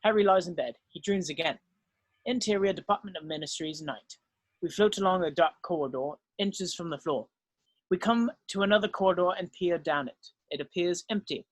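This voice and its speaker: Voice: medium pitch, moderate pace, dry recording. A narrator reading screenplay stage directions as scripted narration, now and then stumbling over the text.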